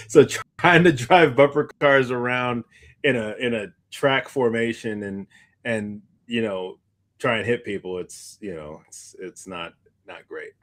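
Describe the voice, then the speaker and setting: A man's voice: medium pitch, unhurried, fairly bright tone. A man co-hosting a podcast, chatting casually in informal, slangy speech. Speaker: podcast co-host. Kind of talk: casual chat.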